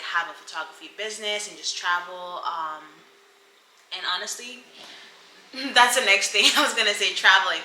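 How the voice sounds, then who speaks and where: A woman's voice: lowish voice, pushed and bright, roomy sound, slight background noise, low-quality recording. A woman in her mid-twenties from Cameroon, partly raised in the US, chatting casually and informally to camera as a vlogger, with giggly, rambling asides. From Cameroon, partly grew up in the US. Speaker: vlogger introducing her channel.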